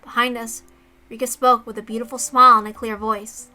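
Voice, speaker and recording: Casting: high, bright female reader for visual novel narration, with informal asides. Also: level tone, a little fast, soft background music. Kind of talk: dramatic narration with casual asides.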